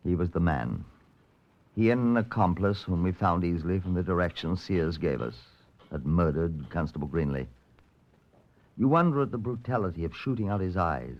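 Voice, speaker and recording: A man playing a formal British police detective in a 1950s radio drama, measured and matter-of-fact. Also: low voice, expressive. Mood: grave, matter-of-fact. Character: formal male British police detective narrating his case. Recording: muffled old radio recording.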